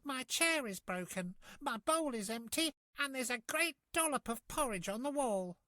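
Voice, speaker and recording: A tiny, plaintive baby bear girl from a children's storybook, put on by a man. The voice is very high and childlike, with a dry, close sound. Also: lively intonation, normal speed, bright, forceful tone, no echo, exaggerated voice. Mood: upset.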